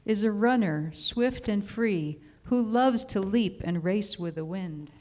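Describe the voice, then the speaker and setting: A woman's voice: mid-range voice, expressive, slow pace, darkish tone. A woman voicing an insistent, free-spirited antelope, drawing out her words.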